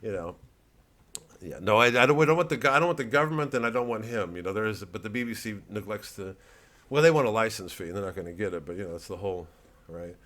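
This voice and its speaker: A man's voice: on the low side, fast talker, a bit bright. Sardonic man rambling through satire. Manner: sardonic, profane, digressive. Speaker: satirical comedian. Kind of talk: rambling, improvised satirical monologue.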